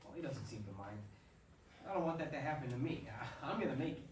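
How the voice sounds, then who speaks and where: A man's voice: mid-range voice, lively intonation, quick pace, a little mellow, lightly acted. A man acting a modest, hopeful young ballplayer in a staged play reading. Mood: hopeful, sincere. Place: theatre staged reading, recorded from off. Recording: echoey room, distant mic, background noise.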